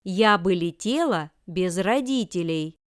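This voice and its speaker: A woman reading example sentences for language learners with careful, deliberate enunciation. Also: fairly low pitch, animated, dry recording, studio quality. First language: Russian. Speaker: language lesson reader.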